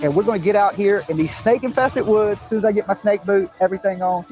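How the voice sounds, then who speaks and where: High-pitched man narrating an outdoor search. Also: fast talker, over music. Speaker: amateur search team leader. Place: outdoors at the edge of woods.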